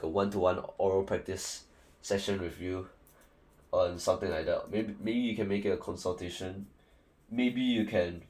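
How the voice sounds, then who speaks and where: A man's voice: deep voice, darkish tone. A young man from Singapore with a Singaporean English accent, giving casual, rambling study advice full of fillers and restarts. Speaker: student tutor.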